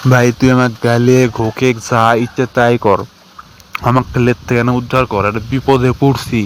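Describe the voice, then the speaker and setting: A man's voice: mid-range voice, level tone, moderate pace, close to an everyday voice. Man playing a desperate rural Bangladeshi man, noisy recording. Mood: pleading, regretful. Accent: northern Bangladeshi. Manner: rambling, complaining, pleading. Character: desperate, pleading village TikToker in deep trouble. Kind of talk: colloquial dialogue in a cartoon skit.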